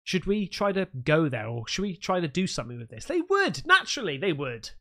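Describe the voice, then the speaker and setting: A man's voice: sits high, huge pitch swings, a little fast, bright, forceful tone. A man in his thirties casually reacting to clips on his stream, thinking aloud with restarts, recorded close on a studio mic in a small home room. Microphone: close studio mic with headphones.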